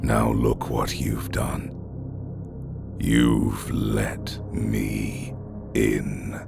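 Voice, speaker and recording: A man voicing a menacing old woman apparition in a horror story, taunting with very slow, drawn-out, ominous words. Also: rumbling low, level tone, creaky, pitched below the performer's own voice, heavily acted. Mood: slow menace. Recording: music under the voice.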